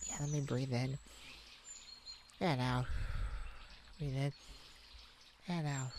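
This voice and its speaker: Woman acting as a kind worrier mage, low-pitched female voice, stammering, unhurried, intimate ASMR roleplay. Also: a little hiss or hum. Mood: anxious, flustered. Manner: hesitant, stammering, soft-hearted worrier. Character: kind, anxious young mage fretting over a childhood friend. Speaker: audio roleplay voice actor. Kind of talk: ASMR audio roleplay, intimate one-sided conversation.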